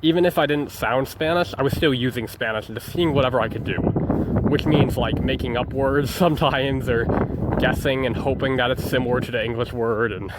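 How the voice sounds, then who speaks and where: A man's voice: mid-range voice, normal speed, very noisy. A young man rambling through a personal journal vlog, hesitating and restarting often. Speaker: vlogger.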